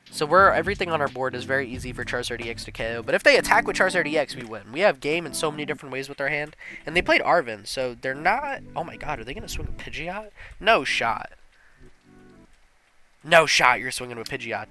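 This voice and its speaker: A young man with a slightly sniffly nose from allergies, casually thinking out loud and commentating his own online card game, with slang and sudden excited laughs. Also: mid-range voice.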